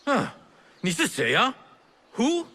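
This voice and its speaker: Animated, teasing tea merchant. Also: male voice, extremely high pitch, slow pace, exaggerated voice. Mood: suspicious. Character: excitable, teasing old male tea merchant. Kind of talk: video game cutscene acting.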